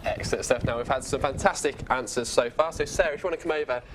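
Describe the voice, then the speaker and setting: A man's voice: medium pitch, quick pace, background noise. A young man interviewing candidates on a student TV show, upbeat and full of stock praise.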